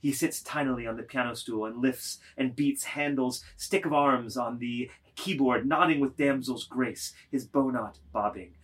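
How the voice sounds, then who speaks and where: A man narrating a literary work dramatically, deliberate and declamatory, phrase by phrase. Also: mid-range voice, fairly bright tone, husky.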